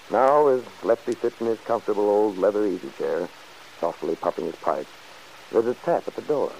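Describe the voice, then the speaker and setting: Male narrator, lowish pitch, scripted 1940s radio storytelling, faintly hissy old recording. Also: gravelly, darkish tone, rough recording.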